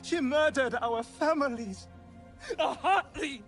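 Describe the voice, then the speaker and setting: A man's voice: extremely high pitch, slow pace, a bit bright, heavily acted. A man playing an enraged young alien warrior out for revenge in a video game, bitter and accusing. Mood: bitter, vengeful. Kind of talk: video game cutscene acting. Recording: music underneath.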